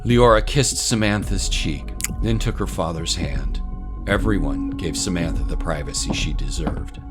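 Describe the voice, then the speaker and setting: A man with a deep voice narrates a fantasy tale in scripted storytelling style. Prominent music plays behind him. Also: measured pace.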